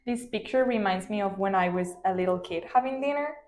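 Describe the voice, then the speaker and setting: A woman in her twenties, a native Spanish speaker, giving steady, thoughtful answers in a practice speaking exam. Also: medium pitch, brisk. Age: 20s. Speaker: student, exam candidate. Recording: echoey room.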